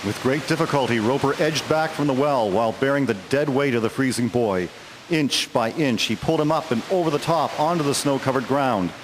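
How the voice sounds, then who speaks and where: A man's voice: mid-range voice, fairly quick, a little hiss or hum, rough recording. A man reading scripted narration as the storyteller of an audio drama. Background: music.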